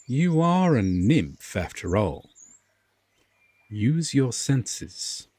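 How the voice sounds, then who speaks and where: A man playing a haughty, dark fae king in a fantasy audio roleplay, speaking with deliberate, commanding pauses and a breathy, condescending tone. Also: mid-range voice, big pitch swings, dry recording, full character voice. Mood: condescending, curious. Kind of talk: slow, dramatic roleplay audio acting.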